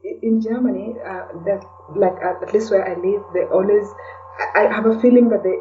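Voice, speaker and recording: A woman from Kenya speaking as a podcast guest in a casual, reflective way, often pausing to search for words. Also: medium pitch, fairly even intonation, a little hiss or hum. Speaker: interview guest, blogger and podcaster. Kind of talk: casual conversational interview. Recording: distant, dull lo-fi call sound.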